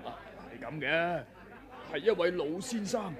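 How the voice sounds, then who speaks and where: A man's voice: fairly high pitch, expressive, measured pace, a crowd in the background. An actor with a Hong Kong Cantonese accent playing a timid old male scholar who wheedles and cries out in fear, in a 1980s radio drama. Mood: frightened.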